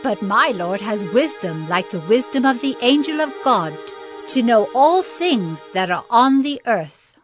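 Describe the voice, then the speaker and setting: High-pitched pleading woman petitioner. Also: very animated, a little slow, soft background music. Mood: subdued, confessing. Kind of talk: dramatized Bible audio acting.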